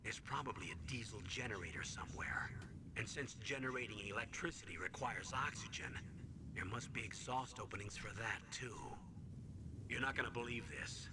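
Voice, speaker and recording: A man's voice: medium pitch, background noise, lightly acted. A man playing a stern military commander in a video game, giving an authoritative briefing.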